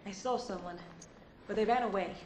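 A woman's voice: mid-range voice, fairly quick, close to an everyday voice, poor audio. A woman playing an earnest, alarmed young field agent in an action game. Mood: uneasy. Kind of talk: cinematic action game acting. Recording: heavy ambient noise behind.

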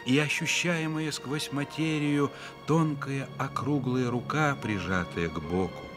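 A man narrating a literary radio play as the author's voice, measured and reflective, with deliberate pauses. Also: medium pitch, music in the background, natural voice. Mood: lyrical, tender. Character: authorial narrator of a family novel, reflective and solemn. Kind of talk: scripted literary narration, dramatic radio reading.

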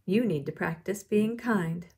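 Woman acting emphatic, husky storybook schoolteacher. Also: mid-range voice, a little slow, darkish tone. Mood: encouraging. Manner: emphatic, instructive. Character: firm, encouraging woman schoolteacher who speaks in rhymes.

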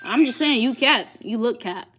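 A woman's voice: a little high, lively intonation, normal speed, a bit bright, soft background music. Teasing young woman on video chat. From Ohio. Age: 20s. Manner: blunt, teasing, slangy. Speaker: streamer chatting with strangers on video calls. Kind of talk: casual chat. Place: small bedroom indoors.

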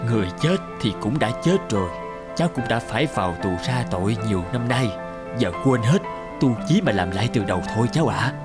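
A hearty older man who drives a bus in a cartoon drama, played by a male actor. He talks in a fatherly, friendly way at a medium pitch, over prominent music. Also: expressive, fairly quick, lightly acted. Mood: warm, reassuring. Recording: music under the dialogue.

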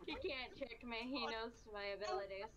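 A woman's voice: on the high side, moderate pace, fairly bright tone, muffled recording. A woman improvising game roleplay in casual chat, swearing freely. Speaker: roleplay player.

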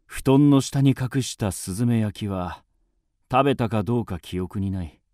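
A man's voice: lowish voice, normal speed, dark tone, dry recording, clean studio sound, natural voice. An actor playing a wry, lofty writer who narrates his youth in a literary radio drama. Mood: reminiscing, wry.

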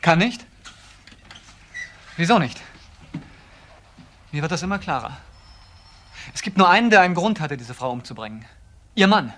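A man's voice: on the high side, very animated, normal speed, pauses often, a bit bright. An actor playing a defiant, accusatory young man defending his brother in a German crime radio drama. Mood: defiant, accusing.

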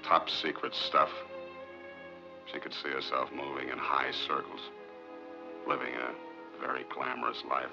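A man acting a world-weary, guarded rocket scientist in a 1950s film, with an American accent and a gravelly voice. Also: rumbling low, fairly even intonation, a little slow, long pauses, full character voice. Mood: bitter, weary. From United States. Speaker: film actor. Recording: dull vintage film soundtrack with loud music.

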